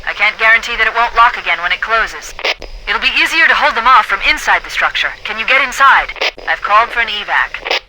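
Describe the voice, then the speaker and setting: A woman playing a poised, confident military AI companion in a video game, giving crisp tactical orders and briefings. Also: on the high side, animated, fairly quick, pushed and bright, slight background noise, rough recording. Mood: brisk, commanding. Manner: crisp, authoritative, articulate tactical delivery. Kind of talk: video game voice acting, military radio dispatches.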